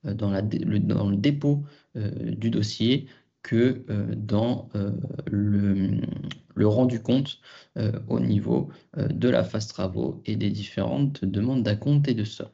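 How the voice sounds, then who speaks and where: A man giving an explanatory lesson as a trainer, hesitant and often pausing mid-phrase. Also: on the low side, vocal fry, mellow, breathy edge, highs cut off. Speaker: trainer on local government. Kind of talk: explanatory lesson, semi-prepared.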